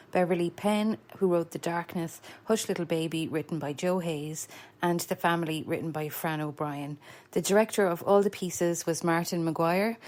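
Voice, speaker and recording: A woman's voice: fairly low pitch, darkish tone. A woman with an Irish accent, talking casually as a podcast host. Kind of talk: casual interview hosting.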